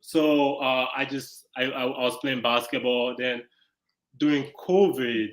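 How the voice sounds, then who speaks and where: Brief: man in his twenties from Nigeria, mid-pitched, hesitant casual guest. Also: fairly even intonation, poor audio. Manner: halting, many fillers, easygoing. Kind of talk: casual interview storytelling.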